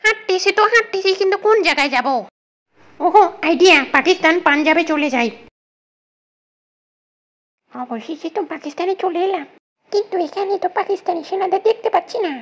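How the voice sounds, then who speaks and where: A man voicing a cheeky, boastful young male cartoon troublemaker in a squeaky comic voice, given to taunts and exclamations. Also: animated, a little fast, long pauses, a bit bright. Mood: musing. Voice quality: squeaky, very high-pitched cartoon voice.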